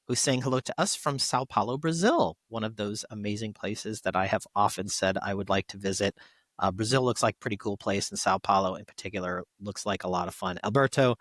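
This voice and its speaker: A chatty male podcast co-host reads listener shout-outs in a casual, appreciative way. His voice sits at an average pitch, recorded close and dry with very little room sound. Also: dry recording.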